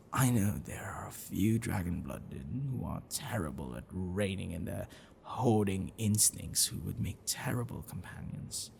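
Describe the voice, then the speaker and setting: A gentle, bookish half-dragon boyfriend, acted by a man in an intimate ASMR roleplay. His voice is low and gravelly, with lively swings in intonation. He speaks haltingly and tenderly, as if apologizing to a sweetheart. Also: slight background noise, heavily acted. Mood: concerned. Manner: halting, soft chuckles and sighs, dropping to whispers.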